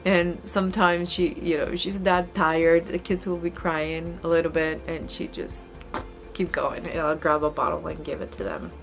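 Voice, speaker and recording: Woman, young adult, low-pitched, casual to-camera chat, earnest, many restarts, indoors, close mic. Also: moderate pace. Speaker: homesteading vlogger. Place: indoor room with goat pen, daytime. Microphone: camera close in front.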